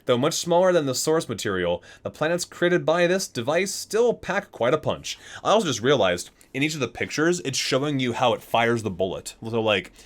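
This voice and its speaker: A man's voice: mid-range voice, big pitch swings, normal speed, hardly any pauses. A man hosting an ASMR video, reading aloud from a guidebook with theatrical emphasis on titles and casual muttered asides.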